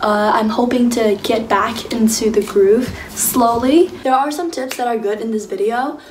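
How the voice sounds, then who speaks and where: A woman's voice: on the high side, moderate pace, echoey room, distant mic, slight background noise. Bubbly young woman vlogging in a dorm. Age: young adult. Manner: bubbly, excitable, squeals outdoors. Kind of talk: casual vlog chat. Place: dorm room, indoors.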